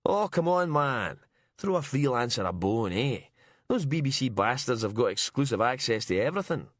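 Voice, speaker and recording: A man's voice: mid-range voice, sing-song, normal speed, no room sound, clean studio sound. A man voicing a vain, pushy freelance reporter. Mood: wheedling.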